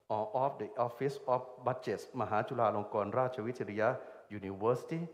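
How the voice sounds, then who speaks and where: A man with a Thai accent reading a formal, deferential ceremonial report as a programme organizer. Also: medium pitch. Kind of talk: formal ceremonial speech read from a script. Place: large hall at a ceremony. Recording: echoey hall with long reverb.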